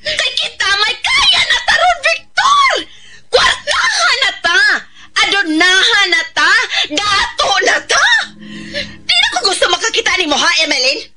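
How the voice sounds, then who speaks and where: Shrill, haughty scolding mother. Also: full character voice. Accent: Filipino. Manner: scolding, forceful, melodramatic. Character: angry, haughty, scolding mother, newly rich and class-proud. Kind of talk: Filipino radio drama acting.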